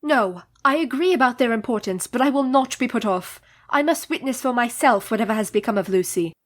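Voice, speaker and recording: A woman's voice: fairly high pitch, expressive, fairly quick. An actress playing a young married Victorian woman in a radio play, formal, composed, earnest and determined. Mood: resolute.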